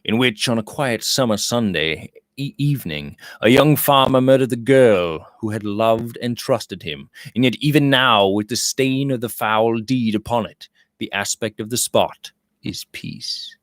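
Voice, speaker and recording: A man's voice: medium pitch, unhurried, no echo. Dry-sounding man reading a novel aloud. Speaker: audiobook reader. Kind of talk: scripted literary narration.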